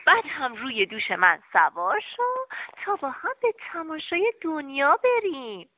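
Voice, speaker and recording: Woman playing a big storybook bird, kindly and coaxing, lively high voice, tinny phone-like sound. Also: dry recording. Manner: gently scolding, then urging.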